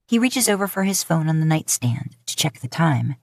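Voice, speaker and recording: A woman's voice: low voice, sing-song, moderate pace, vocal fry, no room sound. A woman narrating scripted romantic fiction as an audiobook-style storyteller, recorded close to the mic.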